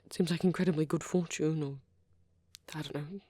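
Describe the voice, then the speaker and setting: A woman voicing a shy, awkward young vampire boyfriend in an ASMR roleplay, hesitant and rambling, with a soft, breathy delivery. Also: deep voice, fairly even intonation, no echo. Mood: flustered, affectionate. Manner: hesitant, halting, rambling, nervous.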